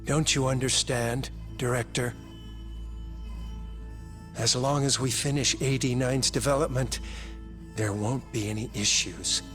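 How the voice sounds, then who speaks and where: Man acting a obsessed scientist, measured delivery, insistent, medium pitch, video game cutscene, faint music. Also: fairly even intonation, fairly bright tone, natural voice. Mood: insistent, cold. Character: coldly obsessed male scientist, grave and zealous. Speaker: medical researcher.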